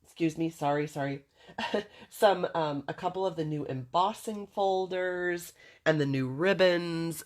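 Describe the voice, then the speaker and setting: Low-voiced chatty woman with a stuffy nose. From Southern California. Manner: chatty and friendly, laughs often between remarks. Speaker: craft demonstrator hosting a livestream. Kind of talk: casual livestream chat, greeting viewers by name.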